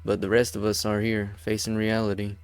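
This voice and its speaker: Low-voiced, defiant hard-bitten man, acted by an amateur. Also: completely flat delivery, fairly quick, clear, resonant tone, no echo, close to an everyday voice. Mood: resolute. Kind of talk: amateur game fan dub.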